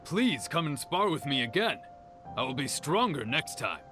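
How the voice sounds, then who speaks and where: A man playing a humble, earnest martial fighter in an anime game, speaking in a measured, sincere way. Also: on the high side, sing-song, over music. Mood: calm resolve. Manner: measured, sincere, plain-spoken. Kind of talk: anime game character acting.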